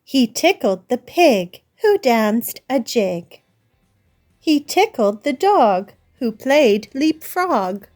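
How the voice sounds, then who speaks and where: A woman hosting story time, reading a picture book aloud to young children in a deliberate, sing-song manner. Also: fairly high pitch, big pitch swings, darkish tone, soft background music. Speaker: children's storybook reader and host.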